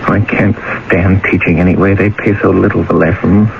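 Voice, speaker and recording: An actor playing a poor, nervous, brooding young student in a radio drama, speaking hesitantly. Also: male voice, low-pitched, level tone, normal speed, vocal fry, slight background noise. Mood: bitter, defiant. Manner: nervous, hesitant, muttering to himself. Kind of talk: 1970s radio drama acting. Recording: dull radio recording with music.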